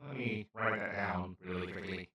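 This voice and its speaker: Heavy echo surrounds a kindly, well-meaning male alien, acted by a man, heard a little way off from the mic. His voice is bright and forward. He talks to the listener like a careful, awkward host in a comedy roleplay, earnest, formal and prone to rambling. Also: deep voice, steady tone, normal speed. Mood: curious, rambling. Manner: gentle, earnest, apologetic, slightly formal and rambling. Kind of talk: scripted comedic audio roleplay, addressing the listener. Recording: roomy, echoing, distant-sounding voice.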